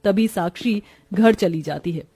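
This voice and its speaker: A woman's voice: medium pitch, unhurried, warm tone, faint music behind. A woman reading scripted storytelling narration for a cartoon moral tale.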